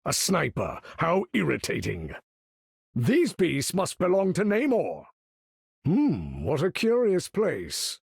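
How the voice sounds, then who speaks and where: An older, imperious supervillain for a video game. His voice is gravelly and husky, swinging dramatically as he issues grand, formal battle commands with pompous weight. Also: a little high, huge pitch swings, takes it slowly, dry recording, studio quality, heavily acted. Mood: haughty, commanding. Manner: pompous, theatrical, formal diction.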